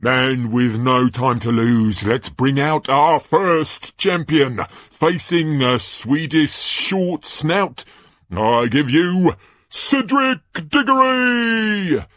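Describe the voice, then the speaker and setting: A man voicing a flamboyant, gushing tournament announcer in a storybook reading, with booming, drawn-out delivery. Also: lively intonation, a bit bright, no echo, exaggerated voice. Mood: breathless commentary. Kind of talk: stage-play sports commentary read aloud.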